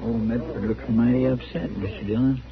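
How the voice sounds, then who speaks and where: An actor playing a loyal, deferential American deputy sidekick in a radio western, folksy and respectful. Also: male voice, medium pitch, steady tone, a little fast, vocal fry, a crowd in the background, lightly acted. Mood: dutiful. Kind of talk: 1950s radio western acting. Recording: muffled old radio recording.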